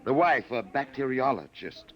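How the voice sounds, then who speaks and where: An actor playing a smooth-talking, menacing agent hunting fugitives, falsely polite, in 1950s radio drama style. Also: male voice, mid-range voice, lively intonation, moderate pace, highs cut off, natural voice. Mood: falsely apologetic.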